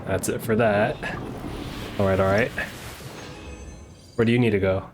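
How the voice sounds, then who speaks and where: A man's voice: lowish voice, steady tone, unhurried. A young man streaming a game with casual chat, often repeating short phrases as he plays. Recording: game music behind voice. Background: video game music and effects.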